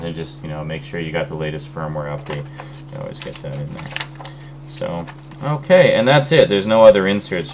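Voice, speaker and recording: A man with a very low voice talks loosely through an unboxing, full of ums and trailing restarts. He sounds a little phlegmy from an oncoming cold. A faint hum sits under the recording. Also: dull audio. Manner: relaxed, rambling, many fillers. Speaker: video host. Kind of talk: casual unboxing chat.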